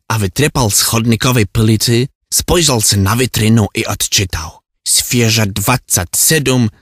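A man's voice: fairly low pitch, fairly bright tone, no echo. Flustered Czech man played by an actor, close and dry. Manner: flustered ranting in garbled mock Czech-Polish. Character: hapless, exasperated Czech man lost in Poland. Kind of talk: comic monologue.

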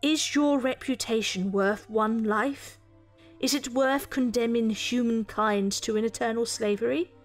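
A woman's voice: on the high side, faint music behind, close to an everyday voice. A woman voicing a stern, commanding female reptilian detective with an imperious manner, in an audio story. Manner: slow, imperious.

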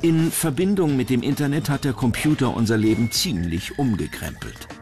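A male documentary narrator reads a scripted commentary. His medium-pitched voice moves up and down with lively intonation. Clear music plays behind him. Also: normal speed. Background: background music.

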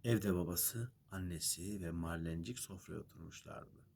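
A man reading a bedtime fairy tale aloud as an expressive, dramatic storyteller. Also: sits low, moderate pace, creaky, breathy edge.

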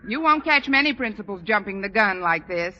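An actress playing a wry, quick-thinking high school teacher, dry and wisecracking, in a 1940s radio sitcom. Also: female voice, lively intonation, close to an everyday voice. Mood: flustered cheer. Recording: muffled, noisy vintage 1940s radio broadcast.

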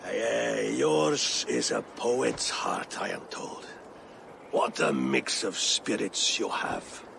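A very gravelly old Viking jarl, proud and battle-weary, speaking slowly and with wide, stately swings. Game ambience sits clearly behind him. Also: male voice, mid-range voice, animated, background noise, heavily acted. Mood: warm, weary. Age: older. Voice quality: gravelly, weathered. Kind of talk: video game cutscene acting.

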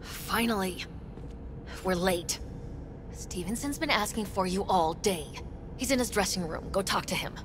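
An actress playing a businesslike female studio producer in a video game, brisk and managerial. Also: mid-range voice, expressive, noisy background, natural voice. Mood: brisk, impatient. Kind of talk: video game dialogue acting. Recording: loud music behind the voice.

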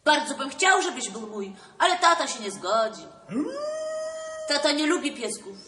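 A woman's voice: sits high, animated, moderate pace. A woman playing a sweet, eager young boy bear in a children's play, with an exclamatory, wheedling manner. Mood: tender. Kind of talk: children's musical theatre dialogue. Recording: roomy, distant, clearly noisy stage recording.

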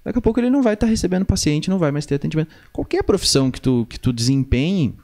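A man's voice: fairly high pitch, sing-song, rapid-fire, a little mellow. A young man with a southern Brazilian gaúcho accent telling stories casually and acting out remembered dialogue, close on a studio mic. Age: young adult. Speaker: podcast guest, musician. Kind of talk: casual conversational storytelling. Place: small indoor podcast studio. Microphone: close studio mic on boom arm.

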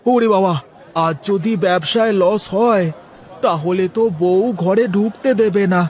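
A man's voice: high voice, a little slow, darkish tone. A man voicing a plain-living village vegetable seller in a cartoon, wheedling and defensive, full of colloquial interjections. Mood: musing. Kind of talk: cartoon character dialogue.

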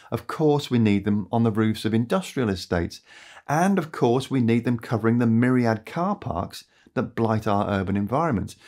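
A man in his fifties, hosting a scripted explainer to camera in a small home studio, measured and earnest. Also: fairly low pitch. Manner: measured, earnest and articulate, addressing viewers directly. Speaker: host of an educational climate and energy channel. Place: small home studio with shelves and lamps, indoors.

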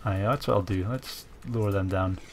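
Guy with a stuffy cold, low gravelly voice, mumbling thru his game moves, some hiss behind. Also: steady tone, moderate pace. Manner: musing aloud while playing, many fillers. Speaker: gaming channel host. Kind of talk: casual strategy game commentary.

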